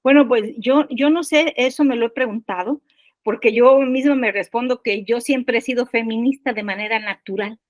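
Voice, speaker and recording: High-voiced older woman. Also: normal speed, no room sound. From Guerrero, Mexico. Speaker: podcast guest, poet. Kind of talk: casual personal storytelling.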